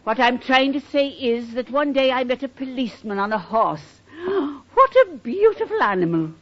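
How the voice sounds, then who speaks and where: A woman's voice: high voice, lively intonation, moderate pace. A fussy older Irish landlady. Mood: indignant. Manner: brogue-tinged, indignant and chatty. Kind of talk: 1940s radio sitcom acting. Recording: dull vintage radio with audience.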